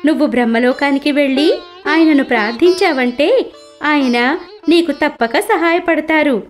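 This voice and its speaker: A woman voicing a gentle, discontented female cuckoo in an animated fable, earnest and plaintive. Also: high-pitched, unhurried, soft background music, voice pushed up. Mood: kindly advising.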